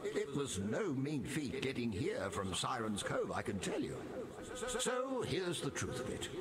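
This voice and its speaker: An actor playing a roguish, courtly undead revenant seafarer in a video game, with flowery, grandiloquent dramatic delivery. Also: male voice, mid-range voice. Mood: grandly earnest. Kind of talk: theatrical video game character dialogue.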